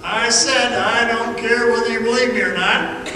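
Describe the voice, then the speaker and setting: A man acting in a radio theatre monologue, playing an awestruck, haunted man recounting a vision with slow, halting intensity. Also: very high voice, fairly even intonation, recorded from a distance. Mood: insistent, fervent. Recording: echoey hall from afar, music underneath.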